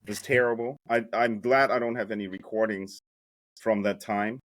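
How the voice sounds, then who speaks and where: German-born guy in his 40s, laid-back storyteller, cracks jokes, low voice. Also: steady tone, normal speed, fairly bright tone, no room sound. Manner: joking, loose American slang. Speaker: podcast guest. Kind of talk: casual storytelling.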